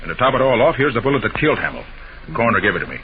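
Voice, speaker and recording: An actor playing a calm, commanding male private detective in a 1940s-style radio drama, brisk and authoritative. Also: mid-range voice, natural voice. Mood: puzzled musing. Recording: noisy, dull old-time radio recording.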